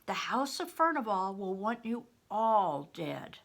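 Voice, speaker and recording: A woman's voice: mid-range voice, big pitch swings, takes it slowly, gravelly, exaggerated voice. A woman voicing an earnest older widow in a storybook reading, giving urgent, pleading warnings with heavy emphasis. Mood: grave, pleading. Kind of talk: audiobook character reading.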